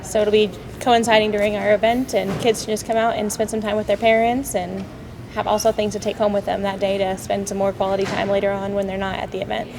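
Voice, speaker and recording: A woman speaks as a guest in a relaxed community interview. She talks quickly, filling gaps with "um" and "like." A steady hiss of noise sits under her voice. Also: medium pitch, creaky. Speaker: guest from a civic club. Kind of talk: casual interview chat.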